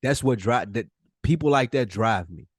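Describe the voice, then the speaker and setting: A man's voice: medium pitch, lively intonation, normal speed, husky, no echo, clean studio sound. A man hosting a podcast, speaking candidly and informally, with slang and swearing, in casual conversation. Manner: informal slangy talk with profanity. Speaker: podcast host.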